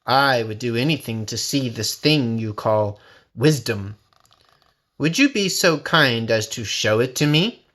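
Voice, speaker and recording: A man's voice: medium pitch, lively intonation, unhurried, a bit bright. A man voicing a sly, hungry tiger in a storybook, with a polite, wheedling manner that hides his scheming. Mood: sly, curious. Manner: courteous, wheedling. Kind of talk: storybook character voice.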